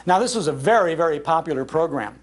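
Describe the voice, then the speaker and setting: Casting: older American male storyteller, slightly high voice, enthusiastic public speaker with nostalgic delivery. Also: lively intonation, fast. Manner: enthusiastic, acts out quoted lines. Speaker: speaker on old-time radio history. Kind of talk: nostalgic storytelling talk to an audience. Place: public library talk.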